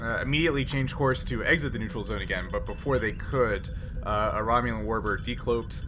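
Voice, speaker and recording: A man running a tabletop roleplaying game, explaining the scenario casually with many halting pauses and filler sounds. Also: on the low side, over music. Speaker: game master.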